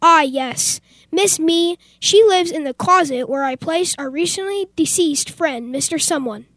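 A young woman playing a fussy, wealthy, oblivious gentleman in an amateur old-time radio play. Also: high-pitched, unhurried, no room sound. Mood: fussy, indignant. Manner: fussy, exclamatory, posh phrasing.

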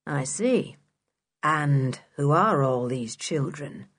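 A woman plays a smooth, sinister man in a children's storybook. The voice is lowered and measured, slightly husky, probing with nosy questions. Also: lively intonation, no echo, studio quality, exaggerated voice. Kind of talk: children's audiobook character acting.

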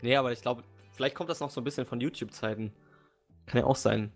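A young man co-hosting a podcast in casual chat, easygoing, with halting restarts. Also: medium pitch, faint music behind. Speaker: podcast co-host.

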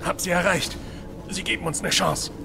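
A man playing a strained, urgent comrade pressing on through danger in a German audio drama, speaking in halting phrases. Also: sits high, fairly even intonation, vocal fry, music in the background. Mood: strained urgency.

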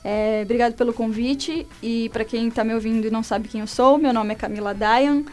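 A woman's voice: on the high side, steady tone, a little fast, a little mellow. A woman from São Paulo with a Brazilian Portuguese accent, a guest telling her own story in a casual, conversational way.

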